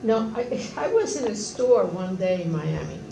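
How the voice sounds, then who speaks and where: A woman's voice: medium pitch, animated, normal speed, gravelly. A woman from Cuba, telling personal stories earnestly in a group discussion. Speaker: discussion participant. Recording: echoey room, far from mic, audible background noise.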